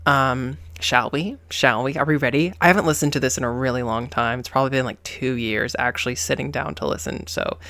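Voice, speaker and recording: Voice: mid-range voice, fairly even intonation, a little fast, hardly any pauses, fairly bright tone, no echo. A host giving casual reaction commentary in a campy, sassy way, with slangy exclamations and repetition for emphasis. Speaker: host of a gaming reaction channel.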